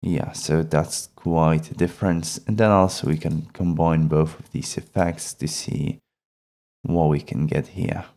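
Slow, deep-voiced male tutorial presenter. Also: fairly even intonation, mellow. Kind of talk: instructional screen-recording voice-over.

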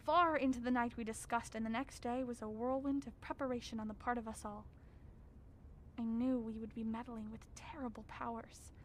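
A grave scholar, played by a woman, recounts horrors in a radio drama. The voice sits fairly high, formal and measured with an ominous weight, over faint background noise. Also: steady tone, close to an everyday voice. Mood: ominous dread. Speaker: actor narrating. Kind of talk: radio drama narration as a written record.

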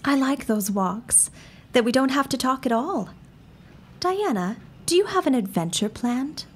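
Woman as a breathy, inquisitive young sister. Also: high-pitched, expressive, unhurried, exaggerated voice. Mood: curious. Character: timid but curious, blunt younger sister, young woman. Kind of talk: period audio drama acting.

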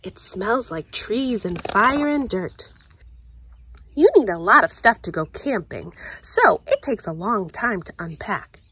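A woman reading a children's picture book aloud in an expressive, deliberate storytelling manner. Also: mid-range voice, slightly rough. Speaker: story time reader.

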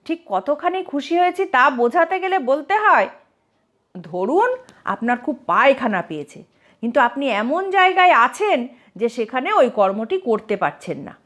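Cheeky male court jester performed by a woman, comic tale, mock-earnest, animated, upper-register delivery. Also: high-pitched, moderate pace. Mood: mock-earnest delight. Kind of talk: dramatized comic audio storybook.

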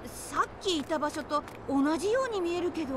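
A woman playing a bossy, shrewd, excitable young adventurer in an animated game cutscene. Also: very high-pitched, a little fast, background noise. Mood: puzzled. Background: music.

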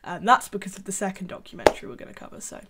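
A young woman giving deadpan, sarcastic commentary from her bedroom into a desk microphone. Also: mid-range voice, rapid-fire, very noisy. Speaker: YouTube commentator. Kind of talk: sarcastic commentary on a reality show. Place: small bedroom with colored lights. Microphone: large desk microphone.

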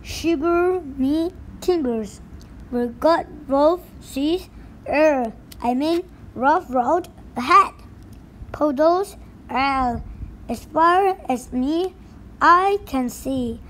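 Woman doing a pirate bus captain, high and very slow, all arrs and slang, big talk but a scaredy-cat. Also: a bit bright, a little hiss or hum, heavily acted, rough recording. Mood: hearty. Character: blustering pirate captain turned bus driver, boastful but easily scared. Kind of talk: storybook read-aloud.

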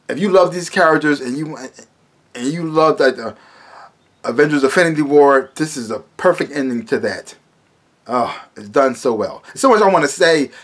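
An American man in his thirties with a voice a little higher than average talks casually to a camera at home. He sounds like a vlogger giving a review, emphatic and prone to repeating words and phrases as he goes. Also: a bit bright. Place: small room at home, indoors.

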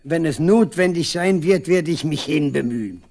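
A man's voice: fairly high pitch, lively intonation, moderate pace, darkish tone. A proud old country man in a radio play. He badgers and boasts with stubborn insistence, as a veteran who expects respect.

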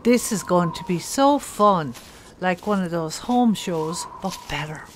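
Slow, low-voiced hopeful wife woman acting. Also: animated, a little hiss or hum. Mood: cheerful. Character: hopeful gentle wife and mother among apocalypse survivors. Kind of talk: amateur comic-dub voice acting.

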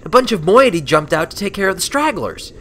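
A man voicing a brash, tired young female pegasus adventurer in a storybook, telling her exploits in casual, animated speech. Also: sits high, a little fast, pitched above the performer's own voice. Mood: excited, stressed. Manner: animated, colloquial. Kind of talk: storybook character voice.

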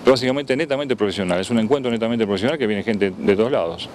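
Argentine guy in his 50s, lowish voice, talks in halting lists, outdoor interview with traffic noise. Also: a little mellow. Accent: Argentine Spanish. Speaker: trade fair organizer being interviewed. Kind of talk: on-location TV interview. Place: outdoors beside a building near a road. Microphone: handheld interview microphone.

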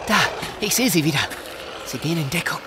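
An actor playing an anxious, doubting young survivor in a German zombie audio drama, nervous and sceptical. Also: male voice, on the high side, expressive, fast, very noisy, close to an everyday voice. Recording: loud ambience sound effects behind voice.

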